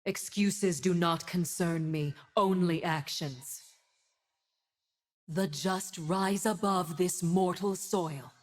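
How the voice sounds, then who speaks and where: A woman playing a stern, zealous divine winged warrior in a video game, making solemn, grandiose declarations. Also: lowish voice. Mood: solemn, resolute. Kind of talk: video game character voice lines.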